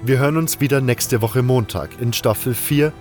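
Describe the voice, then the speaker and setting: A man's voice: mid-range voice, moderate pace. A man narrating a scripted fantasy audio drama in a dramatic storyteller's style. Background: music.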